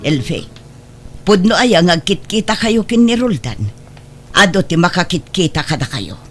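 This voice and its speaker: Actress as a strict ilocano mom, worried and scolding, voice swings up and down, audio drama. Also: female voice, lowish voice, big pitch swings, normal speed, faint music behind. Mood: stern. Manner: probing, admonishing. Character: worried, morally strict mother of a married daughter.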